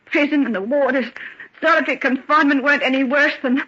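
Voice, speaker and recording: A woman's voice: sits high, steady tone, fast talker, fairly bright tone. An actress playing a bitter, wronged working-class young woman with a sardonic, defiant manner in an old-time radio drama. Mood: reproachful, bitter. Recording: dull, lo-fi vintage radio recording.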